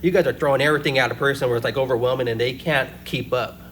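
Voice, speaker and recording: A man hosts a casual podcast interview, his pitch in the middle range. He asks questions with eager admiration. A faint hum runs underneath. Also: steady tone, racing, a bit bright. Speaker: podcast host.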